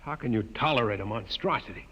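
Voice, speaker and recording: An actor playing a defiant, scornful rebel from a starship, urgent and blunt, in a 1950s radio drama. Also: male voice, mid-range voice, fast, fairly bright tone, lightly acted. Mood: defiant, appalled. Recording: muffled noisy vintage radio, reverberant.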